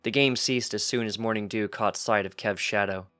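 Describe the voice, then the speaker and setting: A man's voice: fairly low pitch, steady tone, moderate pace, fairly bright tone, faint music behind. A man reading fan fiction aloud as scripted story narration. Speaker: fanfiction reader.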